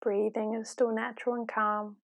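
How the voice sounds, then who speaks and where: A woman leading a guided meditation in a calm, unhurried, gently instructive way, with long pauses. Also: a little high.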